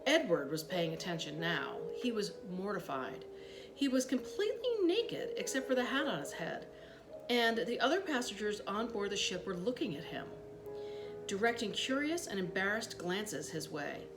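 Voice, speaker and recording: A woman reads a children's story aloud with a lively, swooping delivery and clear music behind her. She uses dramatic pauses and steps out briefly for asides, like a storytime host. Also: medium pitch. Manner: animated, dramatic pauses, acts out dialogue.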